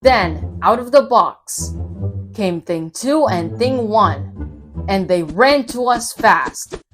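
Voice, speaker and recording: A woman reading a storybook aloud to children in an animated storytelling style. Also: mid-range voice, slow, faint music behind.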